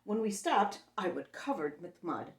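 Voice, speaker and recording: A woman's voice: medium pitch, very animated, a little slow, reverberant. A woman hosting story time, reading a picture book expressively and explaining things simply for young children.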